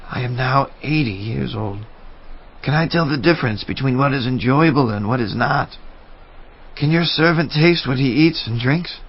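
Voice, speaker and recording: An actor playing a humble, weary man of eighty in a dramatized audio Bible, pleading gently. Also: mid-range voice, moderate pace, slightly rough, muffled recording.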